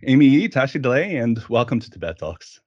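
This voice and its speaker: A man's voice: medium pitch, big pitch swings, brisk, breathy edge. A man hosting an interview in a conversational style. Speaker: interview host. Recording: dull, lo-fi audio.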